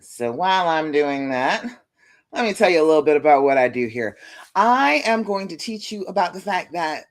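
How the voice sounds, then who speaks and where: A woman relationship coach with a deep voice, talking casually and reassuringly to viewers on a phone livestream, often checking in with "okay?". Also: animated, moderate pace. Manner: warm, chatty, reassuring, tag questions like "okay?". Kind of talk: casual live-stream coaching talk. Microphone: phone live stream.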